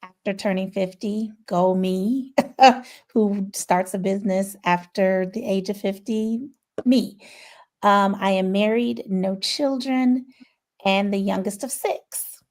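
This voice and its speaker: A woman's voice: mid-range voice, steady tone, slow, darkish tone. A woman in her fifties from Chicago, a marketing consultant chatting candidly on a video call with self-deprecating humor. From Chicago, Illinois. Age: 50s. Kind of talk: casual panel chat.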